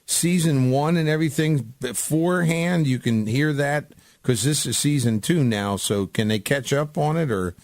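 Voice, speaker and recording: A man's voice: mid-range voice, normal speed, darkish tone, no room sound. A man hosting a radio show, chatting casually in an informal way and often repeating his phrases. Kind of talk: casual radio interview chat.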